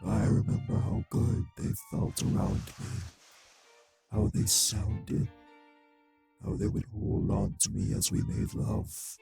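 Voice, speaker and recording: A cruel vampire man, as a male actor plays him in an audio roleplay, taunting with polite menace. His voice is dark and heavily fried, husky at the edges, and moves slowly over a light music bed. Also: on the low side, exaggerated voice. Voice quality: husky, gravelly, breathy. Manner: slow, deliberate, mockingly polite. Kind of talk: scripted audio roleplay drama.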